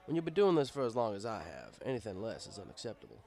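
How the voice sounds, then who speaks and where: Lively male storybook narrator, wry. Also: on the low side, fast. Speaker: story reader. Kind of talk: first-person scripted narration, fan fiction audiobook.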